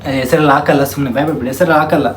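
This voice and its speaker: A man's voice: fairly high pitch, steady tone, very fast. A young man chats casually as a prank vlog host, informal and often repeating his phrases. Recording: clear background noise.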